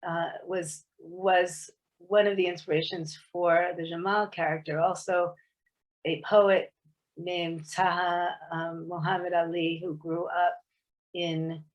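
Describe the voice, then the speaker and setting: This is a woman with a somewhat low voice, talking as a guest author on a video call. She speaks thoughtfully and tentatively, pausing and restarting her phrases as she works out what she means. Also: little pitch movement, dull audio. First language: English. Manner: thoughtful, hesitant, many restarts. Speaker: author guest at a book club. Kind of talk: casual explanatory talk on a video meeting.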